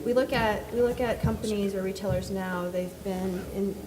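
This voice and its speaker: Soft-spoken woman in a big hall. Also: medium pitch, steady tone, moderate pace, noisy background. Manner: soft-spoken, rambling with many fillers. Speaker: panelist. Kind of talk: panel discussion answer. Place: conference hall. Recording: distant reverberant hall with faint ambience.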